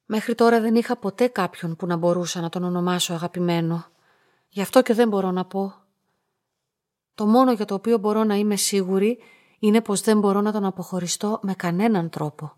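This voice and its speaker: An actress playing a solitary young woman in a radio play, polite, measured and introspective, quietly devoted and resolute. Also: medium pitch, dry recording, clean studio sound, close to an everyday voice. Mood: hesitant, sincere. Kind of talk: radio drama acting and first-person narration.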